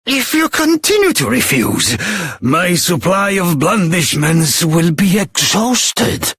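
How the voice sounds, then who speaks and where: An actor playing a pompous, stubborn old king with a raspy, creaky voice and flowery, theatrical speech, in a fantasy video game. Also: sits high, big pitch swings, slow, a bit bright, dry recording, exaggerated voice. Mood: insistent, wheedling. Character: weary, wheedling, stubborn old king eager to abdicate.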